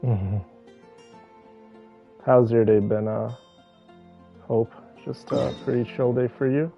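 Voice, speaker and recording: Man, mid-pitched, creaky, laid-back livestream chat, affectionate, soft music behind. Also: steady tone, slow pace, highs cut off. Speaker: game livestreamer. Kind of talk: casual livestream chat and game commentary. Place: bedroom, on a bed. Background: soft game music.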